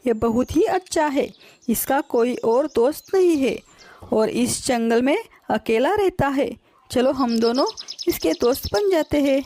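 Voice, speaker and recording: A woman's voice: sits high, animated, unhurried, dark tone, a little hiss or hum. A woman voicing a naive, trusting little female bird in a children's cartoon, innocent and earnest. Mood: friendly, trusting. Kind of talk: children's cartoon story acting.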